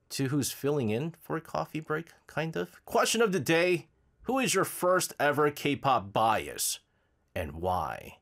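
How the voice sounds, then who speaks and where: A man's voice: mid-range voice, fairly bright tone. A man hosting a radio show, reading announcements and listener messages with energy and many fillers; he has a slight cold, sounds a bit nasal and drowsy. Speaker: radio host.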